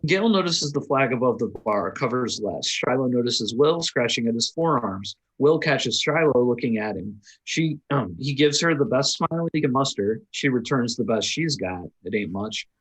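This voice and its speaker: Husky-voiced man reading stage directions. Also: medium pitch, expressive, normal speed, few pauses, a bit bright, low-quality recording. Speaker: reader of stage directions at a table read. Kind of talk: scripted narration, read aloud.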